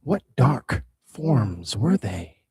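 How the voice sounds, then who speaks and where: A man reading the part of a young sea nymph who asks soft, wondering questions in a husky voice. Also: mid-range voice, sing-song, drawn-out words, no echo, studio quality, full character voice. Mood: questioning. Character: Ione, a curious young female oceanid.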